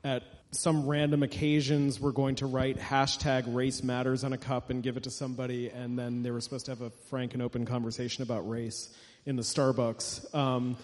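A man's voice: mid-range voice, a little mellow. A man moderating a live author event, asking casual, tentative questions in a roundabout way. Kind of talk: casual live on-stage interview. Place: indoor event hall with an audience. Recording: reverberant hall.

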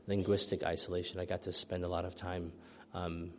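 A man's voice: deep voice, little pitch movement, brisk, fairly bright tone. A middle-aged male author from the United States, thoughtfully answering audience questions after a reading, with many ums and restarts. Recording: long hall reverb.

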